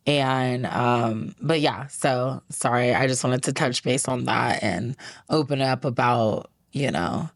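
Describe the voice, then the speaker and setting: A woman's voice: rumbling low, dry recording, studio quality. A woman hosting a casual podcast, talking in a chatty, rambling way with many fillers. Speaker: podcast host. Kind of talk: casual interview talk.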